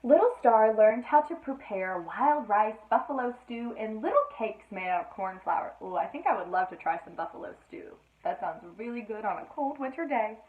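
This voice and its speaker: A woman reading a children's story aloud in an expressive teacherly style, with playful asides to her listeners. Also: on the high side, moderate pace, few pauses. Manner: addresses listeners with playful questions, dramatic emphasis. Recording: roomy, echoey, narrowband sound.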